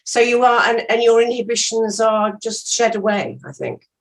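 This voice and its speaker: A woman's voice: on the high side, expressive, normal speed, gravelly, low-quality recording. A woman, an actress being interviewed, chatting casually and telling anecdotes.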